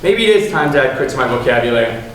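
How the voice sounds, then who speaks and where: Young man playing unrepentant con artist, amateur stage acting, lively intonation, distant echoey recording, faint noise. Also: mid-range voice, fast. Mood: reflective. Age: teens or young adult. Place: school theater stage.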